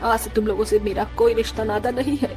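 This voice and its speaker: A woman's voice: a little high, fairly even intonation, fast talker, a little mellow, soft background music, natural voice. A woman voicing a poor, devoted village mother in a cartoon, speaking earnestly and sternly. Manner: earnest, emotional.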